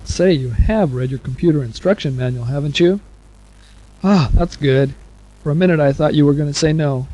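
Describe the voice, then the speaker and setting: Casting: man for instructional narration, soft-edged husky voice with a mellow color, informal and chatty, talking straight to the listener. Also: on the high side, moderate pace. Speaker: instructor on a software instruction tape. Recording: muffled 1970s cassette tape recording.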